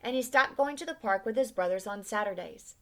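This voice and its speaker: A woman reading a children's picture book aloud with expression, pausing to ask her listeners questions. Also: medium pitch, animated. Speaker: read-aloud storyteller.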